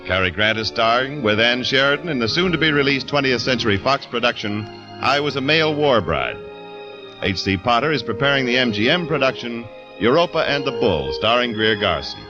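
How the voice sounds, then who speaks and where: A man's voice: medium pitch, animated, fairly quick, dull audio. Formal radio announcer man, music behind. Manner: smooth, formal delivery. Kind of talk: scripted sponsor announcement. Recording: old radio broadcast with music.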